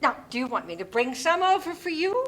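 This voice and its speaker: High-pitched, doting nosy neighbor lady. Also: very animated, a little fast, fairly bright tone. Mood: gushing. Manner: gushing, theatrical, calls people dear and darling. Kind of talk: dramatic play reading.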